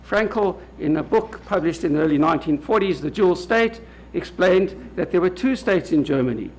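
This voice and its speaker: A man from South Africa with a South African accent, giving a formal lecture to an audience of lawyers in a measured way, pausing often on "uh". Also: sits high, lively intonation, a little mellow, rough recording. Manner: measured, many hesitant "uh" fillers. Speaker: legal academic speaker. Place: lecture hall at a public event.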